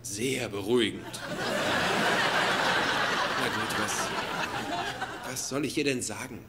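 Ein Schauspieler spielt einen ängstlichen, zögerlichen jungen Freund unter dem Pantoffel in einer synchronisierten Sitcom. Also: male voice, on the low side, slow. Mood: anxious, hesitant. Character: anxious, hesitant, henpecked young boyfriend. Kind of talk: dubbed sitcom acting. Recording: noisy TV soundtrack.

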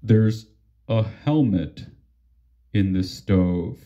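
Male storybook reader, mellow and very unhurried, theatrical children's delivery. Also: on the low side, highs cut off. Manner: theatrical, deliberate. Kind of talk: expressive children's read-aloud.

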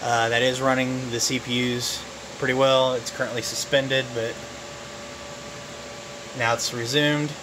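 A man giving a casual, unscripted walkthrough of his home office and phones, relaxed and halting, with plenty of fillers. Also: medium pitch, noisy background. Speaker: vlogger showing his phone farm. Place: cluttered home office full of phones and computers. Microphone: handheld camera mic, filming while walking around.

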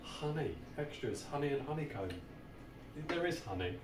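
Roomy, distant sound with clear background noise carries a British man's voice. He talks casually and jokily as a beer review host, often repeating his points. His pitch is average for a man. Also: animated, moderate pace. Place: small home bar room. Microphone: camera a short distance away.

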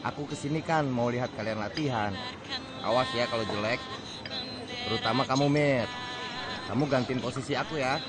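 An actor playing a cheeky, cheerful young footballer in a radio drama, with a playful, teasing manner. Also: male voice, medium pitch, normal speed, long pauses, over loud music, natural voice.

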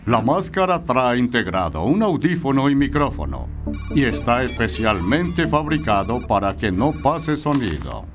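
A man's voice: mid-range voice, very animated, a little slow, music in the background. A man voicing an authoritative, no-nonsense soccer coach in a cartoon dub. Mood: firm, explanatory. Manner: firm, instructive. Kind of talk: animated cartoon dub acting.

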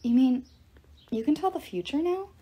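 A woman's voice: high-pitched, animated, moderate pace, dark tone, pitched above the performer's own voice. Teen boy hero played by a woman: worried, blunt, demanding, storybook adventure. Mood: doubtful.

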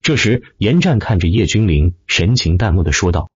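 Husky, deep-voiced male audiobook narrator. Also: sing-song, moderate pace, no room sound, highs cut off. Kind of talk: dramatic scripted narration of a fantasy web novel.

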